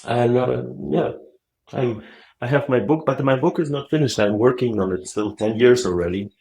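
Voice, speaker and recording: A middle-aged man from Moldova, a native Russian speaker, talking softly and haltingly with many fillers as a guest on a video call. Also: mid-range voice, low-quality recording. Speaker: guest, Zen teacher. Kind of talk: relaxed interview talk. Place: dim wood-walled room.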